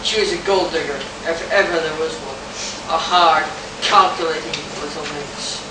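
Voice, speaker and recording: A woman's voice: low-pitched, moderate pace. A woman narrator giving a live dramatic reading of a pulp story at a convention. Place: convention hall. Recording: noisy, echoey convention hall from far off.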